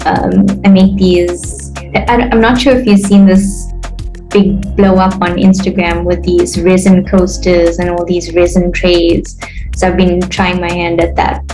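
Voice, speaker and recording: A woman's voice: lowish voice, level tone, a little slow, dark tone, low-quality recording. South african woman in her 30s, friendly chatty video call, mid voice, light music behind. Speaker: participant. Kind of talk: casual video call chat.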